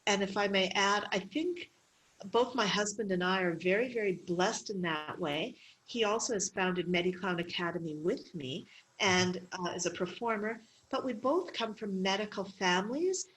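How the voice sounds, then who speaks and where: Middle-aged woman guest, a medical clown; lively, chatty anecdotes with laughter. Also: mid-range voice, normal speed, a little hiss or hum, poor audio. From Canada. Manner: lively storytelling with frequent laughs and fillers. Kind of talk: interview conversation.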